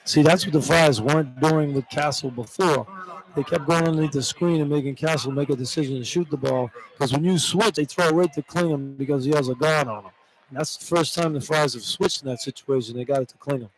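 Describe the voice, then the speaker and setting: A man's voice: fairly high pitch, a little fast, rough recording. A man giving informal, opinionated basketball analysis as a radio color commentator, in a conversational, plain-spoken way. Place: courtside in an indoor arena. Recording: live arena broadcast with background noise. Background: faint arena noise.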